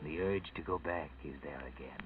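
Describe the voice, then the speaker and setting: A man's voice: rumbling low, normal speed. An actor playing a sinister, taunting radio drama narrator, speaking knowingly and ominously to a guilty man. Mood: ominous, mocking. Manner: ominous, knowing, second-person storytelling. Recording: muffled old radio, music behind.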